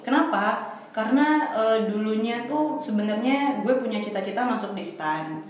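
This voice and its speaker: A woman's voice: fairly high pitch, moderate pace. Young woman chatting in an echoey room. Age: 20s. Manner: casual slangy talk with English phrases mixed in. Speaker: podcast guest. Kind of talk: casual storytelling chat. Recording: very echoey room with faint noise.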